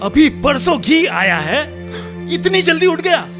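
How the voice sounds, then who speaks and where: A man's voice: extremely high pitch, sing-song, a little slow, over music, full character voice. A man playing a hot-tempered, arrogant younger brother from a village in a Hindi radio drama, demanding and quarrelsome. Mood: irritated.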